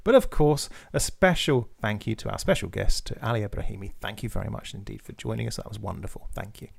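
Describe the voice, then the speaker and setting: A man's voice: fairly low pitch, fast, creaky, breathy edge. A man hosting a podcast, asking curious questions and reading the closing spiel. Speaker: podcast host. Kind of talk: casual interview hosting.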